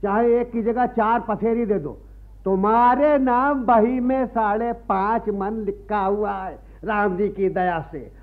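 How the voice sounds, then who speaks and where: An actor playing a greedy, wily priest and moneylender in a radio play, sanctimonious and wheedling. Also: male voice, high voice, steady tone, measured pace. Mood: smug, demanding. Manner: sanctimonious, wheedling, repeats a pious catchphrase. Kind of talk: Hindi radio play acting. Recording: muffled archival radio play recording.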